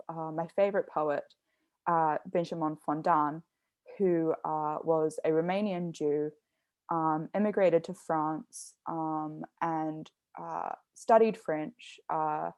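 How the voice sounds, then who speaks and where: Young woman, low voice, gravelly fry, dry close sound, reflective, explaining carefully. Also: a little mellow, no echo. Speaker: artist in conversation. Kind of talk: thoughtful conversational talk.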